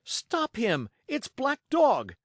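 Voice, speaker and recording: A man's voice: fairly high pitch, wildly swooping pitch, measured pace, no echo, clean studio sound, pitched above the performer's own voice. A man voicing an eager, polite young cabin boy in an audiobook, speaking earnestly and respectfully. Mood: urgent. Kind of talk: audiobook character acting.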